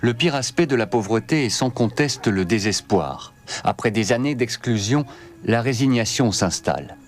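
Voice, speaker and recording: A man's voice: medium pitch, lively intonation, moderate pace. A man reading scripted narration for a documentary.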